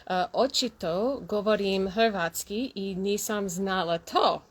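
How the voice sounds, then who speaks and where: A woman hosting a bilingual interview, speaking halting learner's Croatian full of fillers and then translating herself into English. English is her first language. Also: mid-range voice. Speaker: host.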